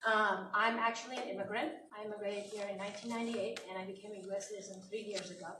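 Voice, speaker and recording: A woman's voice: mid-range voice, fairly even intonation, fast talker, very noisy. A woman from South Africa, a native Afrikaans speaker, earnestly asking a question at a town hall. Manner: earnest, puzzled, pleading. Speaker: audience member asking a question. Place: large hall with audience. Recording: echoey hall from far off.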